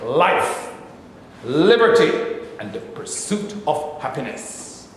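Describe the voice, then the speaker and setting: An actor playing a grandiose minister, giving a sermon in a drawn-out, swelling oratorical style in an echoey hall. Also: male voice, fairly high pitch, big pitch swings, slight background noise. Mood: rousing. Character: male minister, grandiose and preachy. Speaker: actor in a staged reading. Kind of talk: stage reading of a sermon. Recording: echoey hall with long reverb.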